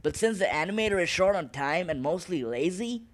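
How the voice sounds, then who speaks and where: Man as twelve-year-old cartoon boy, high-pitched, eager, self-assured, dramatic, full of schemes. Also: lively intonation, fairly quick. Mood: eager, confident. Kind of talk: cartoon voice acting.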